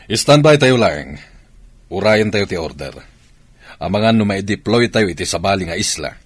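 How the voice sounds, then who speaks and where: A man's voice: sits low, lively intonation, moderate pace, breathy edge, no room sound. A man playing a soldier and father in a radio drama, speaking firmly. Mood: commanding. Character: army father, busy and tender.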